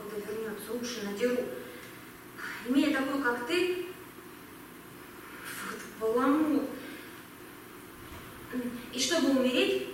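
Young female signaller, amateur actress, high earnest voice, halting delivery, distant in a noisy reverberant hall. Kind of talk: amateur stage-style audio drama.

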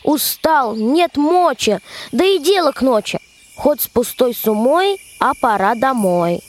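A woman's voice: high-pitched, animated, unhurried. A young woman narrating a rhymed folk tale as the storyteller of a radio play.

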